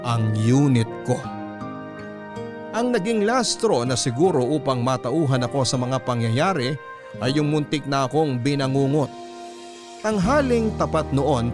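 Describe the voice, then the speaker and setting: A man with a Filipino accent playing a haunted young vlogger, narrating a scripted horror story in a measured, solemn way. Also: medium pitch, lightly acted. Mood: fearful, solemn. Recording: prominent music bed under voice. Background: music.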